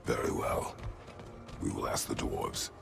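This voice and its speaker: An actor playing a stern, grimly terse middle-aged warrior in a video game, speaking formally in a deep, gravelly voice. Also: male voice, a little slow, music in the background, natural voice. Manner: terse, formal, without contractions. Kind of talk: video game drama acting.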